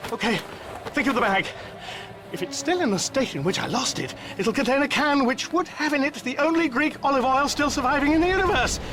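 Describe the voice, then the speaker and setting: An actor playing a flustered, excitable ordinary man in a comic radio drama, stammering and exclaiming as he talks to himself. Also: very high-pitched, exaggerated voice. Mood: delighted.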